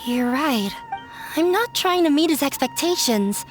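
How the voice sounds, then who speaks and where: A woman's voice: sits high, lively intonation, a little slow, faint music behind. A woman playing a wounded but determined young runaway daughter in a video game, earnest and emotionally burdened. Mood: resolved. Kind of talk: dramatic video game dialogue.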